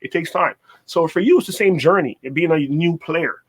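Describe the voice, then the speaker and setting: A man's voice: a little high, moderate pace, breathy edge. A middle-aged man co-hosting, giving conversational advice and repeating phrases for emphasis. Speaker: co-host, basketball mentor.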